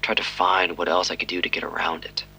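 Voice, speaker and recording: An actor playing a guilt-ridden police detective giving a grave, terse confession in a crime audio drama. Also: male voice, low voice, flat delivery, a little fast, gravelly, sharp tone, a little hiss or hum, exaggerated voice. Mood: resolute. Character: guilt-ridden, weary but determined male police detective.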